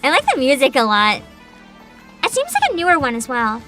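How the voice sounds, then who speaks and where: A young woman livestreamer with a high, very animated voice that swings up and down as she talks. She chats casually to her viewers in an excitable, giggly, unfiltered way, with music playing behind her. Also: fairly quick. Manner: excitable, giggly, informal and sweary. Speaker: livestream host, vtuber. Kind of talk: casual livestream chat and reactions. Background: background music.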